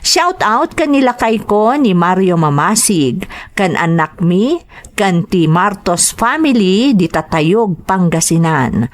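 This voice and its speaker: A woman's voice: medium pitch, expressive, slow pace. A woman with an Ilocano accent hosting a drama podcast, reading greetings and narrating letters from a script.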